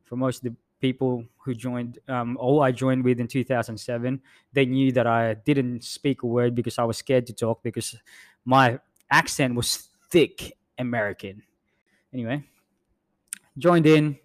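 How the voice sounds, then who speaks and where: A man who grew up in the Philippines, co-hosting a podcast in a laid-back, self-deprecating way with many pauses and um's. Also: medium pitch, steady tone. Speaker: podcast co-host. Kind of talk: casual storytelling chat.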